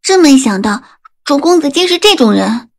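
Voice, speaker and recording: A woman's voice: high-pitched, sing-song, normal speed, gravelly, a little mellow, full character voice. A woman playing an earnest, fervent young maidservant, loyal to her mistress, in an audio drama. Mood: indignant.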